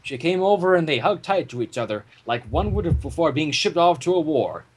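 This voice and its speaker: This is a reader narrating a fan-written story. The delivery is theatrical and very lively in pitch, with a husky, breathy texture throughout. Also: a little fast, low-quality recording. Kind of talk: animated fan fiction narration with banter.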